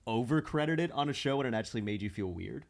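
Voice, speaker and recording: A man's voice: medium pitch, animated, fast, vocal fry, darkish tone. A man hosting a podcast in casual, playful comedic banter. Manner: playful, joking. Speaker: podcast host.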